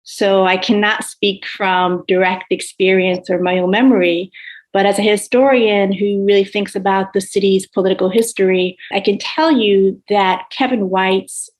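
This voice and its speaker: A woman's voice: mid-range voice, fairly even intonation, normal speed, poor audio. A woman in her forties, a historian speaking on a panel in an explanatory style. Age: 40s. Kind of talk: prepared, explanatory panel remarks.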